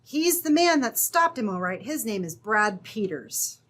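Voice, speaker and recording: A woman's voice: on the high side, sing-song, unhurried, fairly bright tone, exaggerated voice. A woman playing a plucky, earnest young captive in an old-time radio adventure, speaking emphatically.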